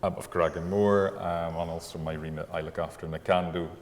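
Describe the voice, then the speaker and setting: Low-voiced Irish man in an echoing room. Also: steady tone, a little fast. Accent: Irish with some Scottish. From Ireland. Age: middle-aged. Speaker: distillery manager being interviewed. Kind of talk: casual interview. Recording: long echoing reverb, faint background noise.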